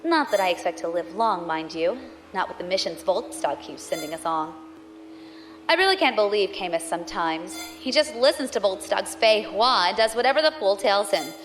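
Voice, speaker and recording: A woman's voice: mid-range voice, expressive, normal speed, fairly bright tone, soft background music, lightly acted. A woman playing a cynical space pirate narrating her journal in an audio drama, sardonic and conversational. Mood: wry, resigned.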